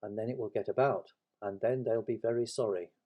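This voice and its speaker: A man's voice: fairly low pitch, fairly even intonation, fairly quick, dull audio, natural voice. A man voicing a gloomy, self-pitying toy donkey in a storybook, with a melancholy, resigned, grumbling delivery. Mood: mournful.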